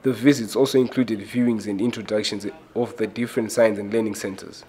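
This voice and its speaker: Male narrator for school news, fairly low pitch, scripted read, faint noise behind. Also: steady tone, a little fast, dark tone.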